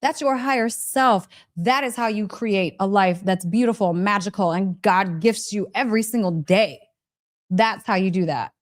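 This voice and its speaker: A woman's voice: mid-range voice, normal speed. A woman hosting a casual motivational storytelling live stream, speaking in a gushing, emphatic, chatty way, repeating phrases and addressing listeners directly. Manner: chatty, direct address with frequent check-in questions. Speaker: host of a live story session, spiritual teacher.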